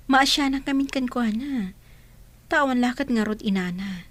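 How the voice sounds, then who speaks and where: An actress playing a kind, gentle woman who reassures a child and plans to be her stepmother. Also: a little high, moderate pace, close to an everyday voice.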